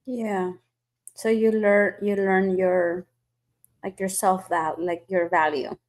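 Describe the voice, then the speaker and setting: A woman's voice: mid-range voice, little pitch movement, slow, darkish tone. A woman hosting a podcast, telling stories in a chatty, casual way with lots of fillers. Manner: chatty storytelling with many fillers. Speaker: podcast host. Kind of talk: casual chat.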